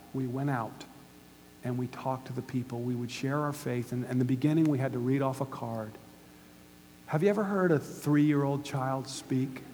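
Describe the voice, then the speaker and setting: A man's voice: on the high side, warm tone. A man in his fifties from the United States preaching a sermon, emphatic and putting questions to the audience. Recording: hall reverb with faint hum.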